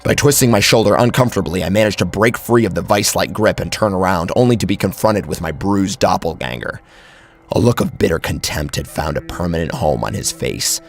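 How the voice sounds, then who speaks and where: A man's voice: low voice, fairly quick, soft background music. A man narrating a first-person horror story in a theatrical, suspenseful way.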